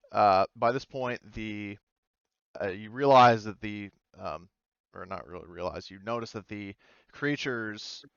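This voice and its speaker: A man running a tabletop game as game master, narrating in a halting, hesitant way over a voice call. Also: fairly low pitch, a bit bright, clear, resonant tone, dry recording, highs cut off. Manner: halting, hesitant, pieces sentences together gradually. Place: online voice call.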